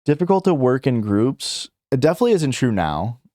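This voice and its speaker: A man's voice: medium pitch, sing-song, brisk, warm tone, no echo. Dry-sounding rambling young man. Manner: rambling, filler-heavy, self-correcting, chuckles. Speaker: streamer. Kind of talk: casual live-stream chat, reading questionnaire items aloud and answering.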